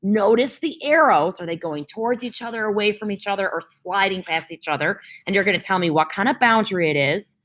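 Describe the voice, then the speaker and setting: A woman's voice: medium pitch, lively intonation, a little fast, few pauses, fairly bright tone. A young woman teacher reading a science lesson aloud for her class, explaining with homely comparisons and often checking in with "right?".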